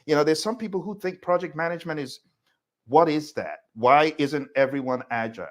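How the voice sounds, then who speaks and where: A man's voice: fairly high pitch, fairly quick. Emphatic male live-class instructor. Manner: emphatic, conversational, addresses listeners by name. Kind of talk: live online lecture with audience questions.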